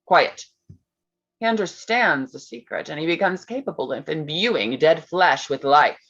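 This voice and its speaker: A woman's voice: sits low, lively intonation, a little slow, breathy edge, dull audio, heavily acted. A woman playing Mary Shelley as an intense, teasing storyteller in a staged reading, pointed and suspenseful. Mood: sharp, defiant. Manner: suspenseful, pointed, shifting into hushed tones. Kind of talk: dramatic staged reading of a gothic tale.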